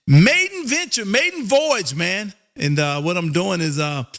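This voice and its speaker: An exuberant, folksy American man with a gravelly voice, chatting casually on air as a ham radio host and repeating his catchphrases. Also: high voice, big pitch swings, a little slow, fairly bright tone. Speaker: amateur radio operator and livestream host.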